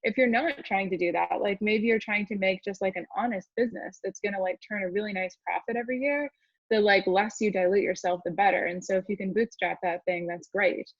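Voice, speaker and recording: A woman's voice: medium pitch, a little fast, no echo. Woman founder, dry and slightly dull audio. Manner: informal, frequent "like" as filler. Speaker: startup founder on a panel. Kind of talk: casual spoken advice.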